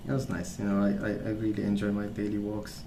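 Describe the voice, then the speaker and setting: A man speaking softly in a calm, gentle, unhurried way, rambling in a casual ASMR storytelling style. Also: fairly low pitch. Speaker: ASMR video creator. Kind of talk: soft-spoken ASMR rambling. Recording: roomy sound with clear background noise.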